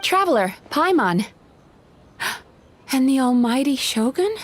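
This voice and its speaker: A woman voicing a kindly, polite, sociable young woman in a video game, with expressive delivery. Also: high voice, slow pace, exaggerated voice. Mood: surprised.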